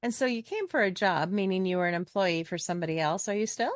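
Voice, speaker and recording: A woman's voice: medium pitch, expressive, quick pace, no room sound, studio quality. A middle-aged American woman hosting a podcast in a casual, chatty, joking way. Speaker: podcast host.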